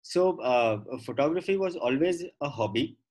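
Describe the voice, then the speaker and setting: A middle-aged man with an Indian accent, explaining his work as an interview guest in a halting, conversational way, repeating phrases for emphasis. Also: a little high, animated, darkish tone. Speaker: guest, professional photographer.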